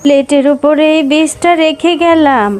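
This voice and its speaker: A woman voicing a gentle, weary old beggar vixen granny in a children's cartoon, speaking tenderly and plaintively. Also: sits high, fairly even intonation, moderate pace, close to an everyday voice. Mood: kind, grateful. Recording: music behind the voice.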